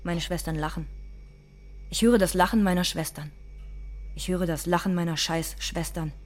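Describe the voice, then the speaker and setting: A woman's voice: on the low side, fairly even intonation, normal speed, close to an everyday voice. An actress playing a bitter, frightened young migrant cleaning woman in a radio drama monologue, in terse, insistent, repeating sentences. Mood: bitter, weary.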